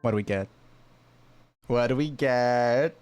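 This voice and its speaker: A man's voice: medium pitch, slow pace, darkish tone. A man making casual livestream reactions as he plays a game. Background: faint game music.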